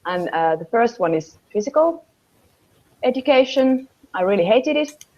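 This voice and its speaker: A young woman in her twenties from Finland, a native Finnish speaker with a Finnish accent, answering interview questions over a video call and often pausing to hunt for English words. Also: a little high, lively intonation, a little mellow. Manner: hesitant, searching for English words, many fillers. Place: small room at home, indoors. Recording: dull lo-fi video call audio.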